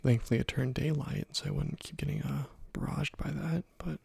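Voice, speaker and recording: A young man softly whispering and musing to himself while he plays a video game for an ASMR channel. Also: a little high, fairly even intonation, a little fast, dry recording. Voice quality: soft whispery, gravelly voice. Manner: quiet, laid-back musing while playing. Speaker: gaming YouTuber. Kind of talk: ASMR gameplay commentary.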